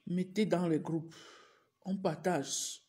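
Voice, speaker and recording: Woman, adult teacher, has a cold, clears her throat; slow, repetitive live-stream pleas. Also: on the low side, fairly even intonation, dark tone. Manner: repetitive, urging viewers over and over. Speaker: teacher livestreaming to followers. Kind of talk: casual live-stream address.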